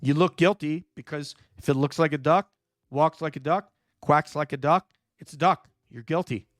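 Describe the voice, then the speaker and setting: A hoarse man, nearly losing his voice, hosting a true crime livestream, talking emphatically and answering viewer chat. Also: a little high, normal speed, dry recording, studio quality. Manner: emphatic, repeats "no question", thinks aloud with fillers. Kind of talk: live commentary reading viewer chat.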